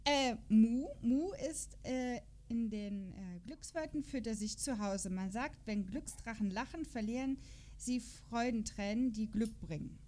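A woman's voice: fairly high pitch, measured pace, darkish tone. A woman in a casual podcast chat, playful and giggly, reading character profiles aloud and stumbling over words. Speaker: podcast host.

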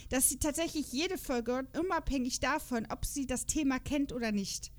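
A woman's voice: high-pitched, normal speed. A woman hosting a podcast in casual chat about audio dramas, talking in a chatty, self-deprecating way. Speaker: podcast host.

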